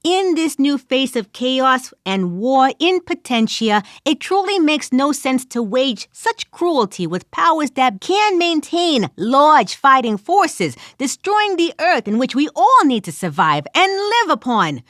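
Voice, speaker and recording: A woman's voice: sits high, very animated, a little slow, hardly any pauses, a bit bright, no echo, clean studio sound, pitched above the performer's own voice, exaggerated voice. A woman playing an earnest speechmaking adventurer. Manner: grand speechmaking, earnest and formal. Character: earnest adventurer pleading for peace.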